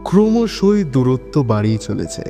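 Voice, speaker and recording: Animated Bengali male storyteller in long reverb. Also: mid-range voice, moderate pace. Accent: Bengali from West Bengal. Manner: expressive, conversational, with rhetorical questions. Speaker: narrator of an audio love story. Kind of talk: dramatic scripted storytelling, addressing listeners. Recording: long reverb with music behind.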